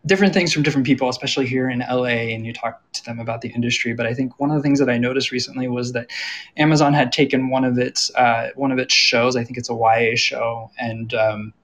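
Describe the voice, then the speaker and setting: A man's voice: mid-range voice, fairly even intonation, fast talker, a bit bright. An American man moderating a discussion in a casual, conversational way as panel host.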